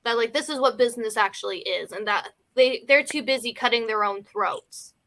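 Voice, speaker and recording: A woman's voice: fairly high pitch, normal speed, a bit bright. A woman in a casual film discussion, talking in a hedging way peppered with "like" and "you know". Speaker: film review panelist.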